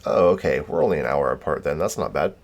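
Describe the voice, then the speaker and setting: An American man with a deep voice streams games and chats as he goes. His delivery is easygoing and informal, wandering from thought to thought. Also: fast, slight background noise. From United States. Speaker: game streamer. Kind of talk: casual livestream chat.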